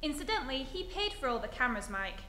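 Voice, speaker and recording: An actress playing a practical, wisecracking magazine photographer with wry quips in a stage re-enactment of an old radio comedy. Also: female voice, high voice, big pitch swings, fast, bright, forceful tone, heavily acted. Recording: echoey hall, faint noise.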